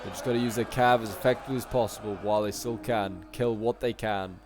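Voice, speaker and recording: A man with a bit of a cold, chatting casually through strategy-game commentary, rambling along and then bursting out excitedly at big moments. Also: fairly low pitch, quick pace, music in the background. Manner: chatty, with sudden excited outbursts at big plays. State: sniffly, coming down with a cold.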